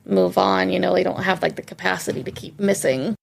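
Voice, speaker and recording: A woman's voice: lowish voice, a little fast, creaky, slight background noise. A woman co-hosting a podcast, going through a film in a casual, wry, informal way, part chat and part read summary. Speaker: podcast co-host.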